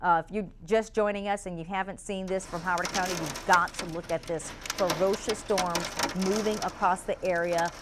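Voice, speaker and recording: A woman's voice: on the low side, steady tone, moderate pace, hardly any pauses, background noise. An American woman presenting live severe weather coverage on TV, ad-libbing as she goes.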